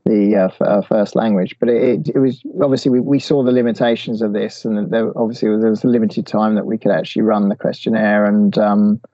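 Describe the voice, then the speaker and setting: A man's voice: lowish voice, steady tone, fairly quick, few pauses, dark tone, dry recording, highs cut off. A man answering interview questions, hesitant, with many restarts and fillers. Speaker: guest expert.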